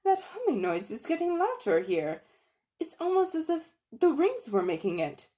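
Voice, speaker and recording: A woman voicing a polite, eager young girl in a storybook reading, raising her voice higher. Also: wildly swooping pitch, normal speed, warm tone. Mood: delighted.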